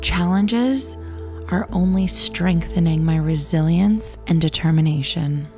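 Raspy low-voiced woman reading affirmations. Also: a little mellow. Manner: slow, soothing affirmation reading. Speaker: affirmation and meditation host. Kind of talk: guided affirmations. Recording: clear music bed behind voice.

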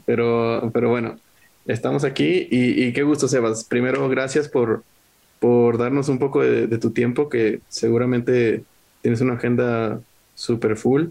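A man's voice: mid-range voice, level tone, a little slow, vocal fry, darkish tone. Male host, video call, chatty and easygoing, laughs easily. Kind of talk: casual interview chat.

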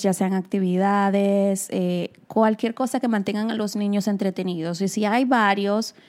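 A woman's voice: mid-range voice, darkish tone. A woman from the Republic, co-hosting a casual chat and giving listeners practical advice. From Dominican Republic. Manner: advises listeners directly, addressing them as "señores". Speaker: co-host.

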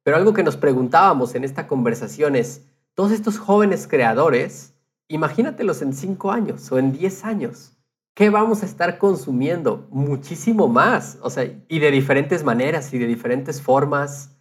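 A man's voice: fairly high pitch, expressive, moderate pace. A man in casual podcast conversation, telling anecdotes with retold quotes and the odd English loanword.

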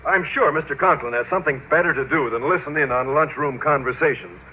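An American actor playing a bashful, naive, mild-mannered teacher with earnest innocence in radio sitcom style. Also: male voice, a little high, fast, natural voice. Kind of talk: 1940s radio sitcom acting. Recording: tinny, noisy vintage radio recording.

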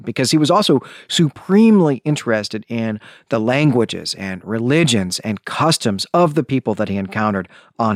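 A man's voice: medium pitch, no echo, studio quality. A man hosting a podcast, giving an informal but prepared talk in an enthusiastic, bookish and emphatic manner. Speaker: podcast host.

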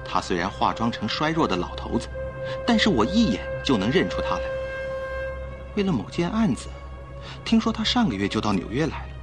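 Suave gentleman, composed, courteous, romantic, theatrical radio drama acting, clear background music. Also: mid-range voice, wildly swooping pitch, a little fast, long pauses. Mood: intent, knowing.

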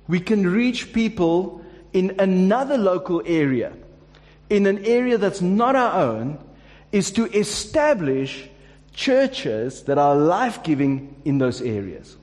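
A man's voice: high voice, sing-song, slow, darkish tone. A man preaching a sermon to a church congregation, with a casual, joking manner and frequent restarts. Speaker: pastor. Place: church hall. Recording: long hall reverb.

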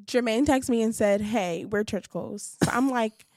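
A woman's voice: fairly high pitch, measured pace, a little mellow, no room sound, studio quality. A woman from Brooklyn, co-hosting a faith podcast, bubbly and giggly, punctuating points emphatically. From Brooklyn, New York. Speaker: podcast co-host, singer. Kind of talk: casual chat.

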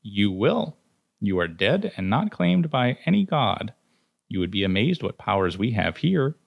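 A man voicing an old, hunched female fate goddess who talks in a brisk, knowing, grandmotherly way. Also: lowish voice, very animated, creaky, dry recording, muffled recording, pitched above the performer's own voice, heavily acted.

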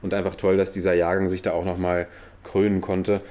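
Man, low voice, monotone, slight cold, rambling podcast chat. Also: fairly quick. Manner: chatty, rambling with restarts. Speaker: podcast co-host. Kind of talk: casual football chat. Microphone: own podcast microphone.

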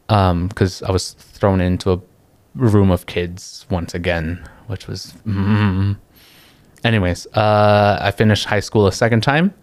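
A young man from the Philippines talks in a laid-back, thoughtful way, breaking off mid-sentence and chuckling now and then. His voice sits a little low. The recording is close and dry, with no room sound. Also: smooth, no room sound. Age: young adult. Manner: relaxed and reflective, pausing mid-thought, laughing easily. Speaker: guest. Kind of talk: casual interview chat.

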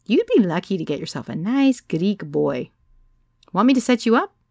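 A woman doing a brash teasing older Greek woman, loves matchmaking, gravelly low voice with a pitch that jumps up when she jokes. Dry, close recording with no room sound. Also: sing-song, moderate pace, mellow, no room sound.

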